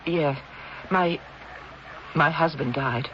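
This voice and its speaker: An actress playing a cold, scheming, impatient wife in a 1970s radio drama, imperious and sharp-tongued. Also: female voice, low-pitched, takes it slowly. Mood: urgent. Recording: muffled, noisy old radio recording.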